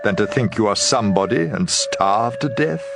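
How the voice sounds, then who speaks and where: A man's voice: fairly low pitch, expressive, moderate pace, gravelly. A man giving a dramatized reading of scripture as an audio Bible reader. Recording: prominent background music. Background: music.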